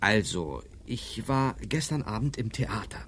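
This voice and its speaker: A man's voice: lowish voice, expressive, unhurried, a bit bright, slightly rough, full character voice. An actor playing an eager male reporter with a dramatic, emphatic manner in a radio crime drama. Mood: matter-of-fact. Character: eager newspaper court reporter bringing a mysterious case.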